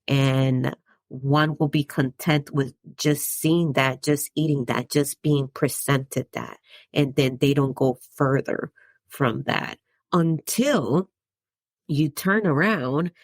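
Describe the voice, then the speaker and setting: A woman's voice: extremely low pitch, slow pace, dry recording. A woman from the Dominican Republic hosting her own podcast, talking through her thoughts in a reflective monologue and often checking in with "right?". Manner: conversational, tags points with "right?", chuckles between thoughts. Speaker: podcast host.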